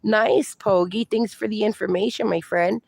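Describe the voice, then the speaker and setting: A woman's voice: mid-range voice, fairly even intonation, moderate pace, creaky, darkish tone, husky, no room sound. Chatty woman hosting a livestream. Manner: chatty, reassuring, fillers. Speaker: livestream host. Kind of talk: casual livestream chat reading comments.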